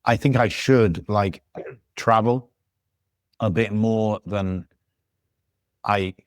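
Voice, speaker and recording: A man with a low voice talks casually on a podcast, working through his thoughts in halting, restarting phrases. The sound is dry and close. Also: no room sound. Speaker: podcast guest. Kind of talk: casual chat. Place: living in Algiers.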